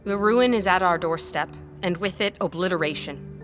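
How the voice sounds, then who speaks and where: A woman playing a defiant, earnest scholar in an audio drama, speaking in an articulate, deliberate way over music. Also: on the low side, a bit bright, lightly acted. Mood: impassioned, urgent. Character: defiant, earnest female scholar-historian on trial. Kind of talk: scripted audio drama acting. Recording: music behind the voice.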